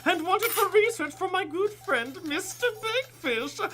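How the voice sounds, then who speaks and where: A woman's voice: extremely high pitch, lively intonation, measured pace, a bit bright, heavily acted. A woman playing a giddy, scheming male mad scientist in a comic radio play, with theatrical, stilted formal speech and a cloying, fiendish manner. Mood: gleeful.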